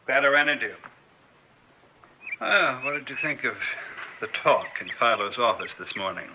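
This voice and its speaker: Actor playing embittered man, agitated, emphatic, lively intonation, vintage radio drama, muffled recording. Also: mid-range voice, normal speed, noisy background, lightly acted. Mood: sardonic, insistent. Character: intense, embittered non-scientist man with a grudge. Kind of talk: 1960s radio drama acting.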